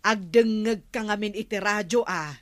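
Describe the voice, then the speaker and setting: A woman's voice: medium pitch, moderate pace, natural voice. A woman with an Ilocano accent playing the exasperated, nagging wife of a lazy village captain in a radio comedy drama. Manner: scolding, exasperated.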